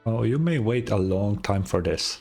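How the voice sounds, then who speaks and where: Husky streamer doing casual wry game commentary, normal pitch, quiet game music in back. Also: lively intonation, normal speed. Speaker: streamer reacting to a video game. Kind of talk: casual live gameplay commentary.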